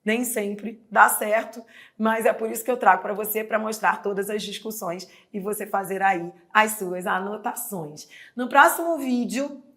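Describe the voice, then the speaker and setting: A woman's voice: medium pitch, normal speed, a bit bright. A woman with a Brazilian Portuguese accent teaching a recorded exam-prep lesson, explaining step by step and checking in with the viewer. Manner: explanatory, addresses viewer directly, frequent "né?" checks.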